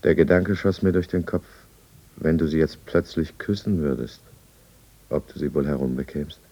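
A brooding drunkard narrating an old German radio play in the first person. His voice is extremely deep. The delivery is deliberate and confessional. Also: warm tone, muffled recording. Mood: tense, resigned. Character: brooding male drunkard recounting his own downfall. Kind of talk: scripted radio drama narration, 1950s.

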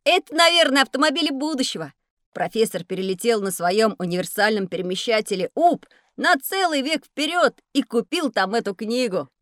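A woman's voice: on the high side, lively intonation, a little fast, a bit bright, dry recording, studio quality. A woman playing a curious, eager little schoolboy in a children's educational audio play. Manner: eager, wondering, chatty.

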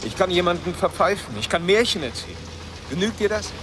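An actor playing a restless, sardonic ex-prisoner in a naturalistic radio drama, with a dry, blunt manner. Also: male voice, high-pitched, sing-song, brisk, music in the background. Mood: defiant, sardonic. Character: restless, sardonic East German ex-prisoner and dreamer.

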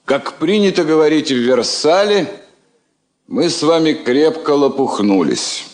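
An actor playing a bookish, passionate middle-aged diplomat, wry and rhetorical, in a theatrical radio drama. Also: male voice, on the high side, lively intonation, a little slow. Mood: indignant, wry.

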